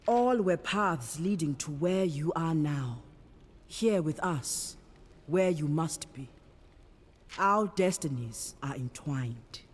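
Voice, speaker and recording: A woman playing a wise, commanding priestess in a fantasy game, speaking in a solemn, measured way. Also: sits low, animated, slightly rough, full character voice. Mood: solemn, assured. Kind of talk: cinematic fantasy game acting. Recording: long hall-like reverb.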